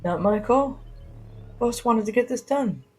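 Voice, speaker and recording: A woman's voice: a little high, lively intonation, unhurried, a little mellow, faint music behind. A woman voicing a dim, absent-minded young male henchman who talks in a vague, hesitant way, in an audio drama. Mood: vague, confused.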